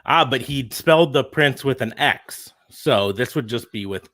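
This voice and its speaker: A man's voice: medium pitch, normal speed, a bit bright. A man hosting a podcast in casual banter, joking and thinking aloud with hesitations. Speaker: podcast host. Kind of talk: casual wrestling fantasy booking chat.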